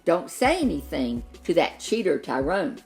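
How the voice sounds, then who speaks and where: Woman voicing an emphatic, animated boy dinosaur. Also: low voice, unhurried, creaky, faint music behind, exaggerated voice. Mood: scheming. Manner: emphatic, indignant. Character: indignant young male dinosaur, fed up with cheating.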